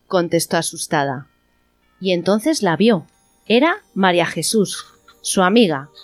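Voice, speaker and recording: A woman's voice: lowish voice, lively intonation, unhurried, dry recording, soft background music. A woman reading scripted narration for a radio play.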